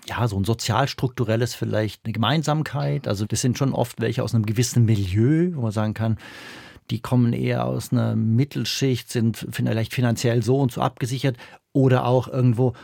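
The husky man with a creaky edge who puts long, searching questions to guests on a talk podcast. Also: medium pitch, fairly even intonation, a little fast, darkish tone, no room sound, studio quality. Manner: tentative, long-winded, thinks aloud while asking. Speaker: interviewer. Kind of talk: conversational interview questions.

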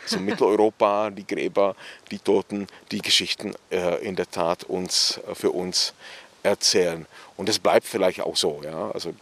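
A man from the Czech Republic with a Czech accent, talking about his writing in a reflective, hesitant way full of fillers. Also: on the low side. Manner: thoughtful, hesitant, many fillers. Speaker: author. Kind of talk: relaxed reflective interview talk. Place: outdoors on a cemetery bench.

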